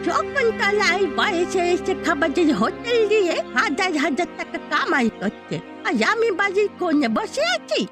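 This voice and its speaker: Slow, high old village mother-in-law. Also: very animated. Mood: envious, curious. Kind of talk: Bengali cartoon voice acting. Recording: music behind the voice.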